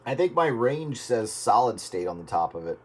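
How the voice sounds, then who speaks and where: A man's voice: mid-range voice, very animated, normal speed, noisy background. A man chatting casually on the radio in a folksy, plain-spoken way. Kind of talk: casual chat over radio.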